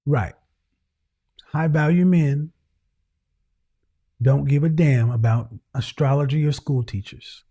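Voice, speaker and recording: Blunt lecturing man, higher voice. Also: steady tone, takes it slowly, long pauses, dark tone, breathy edge. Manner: harsh, lecturing, repeats phrases. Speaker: host. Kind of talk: blunt call-in advice.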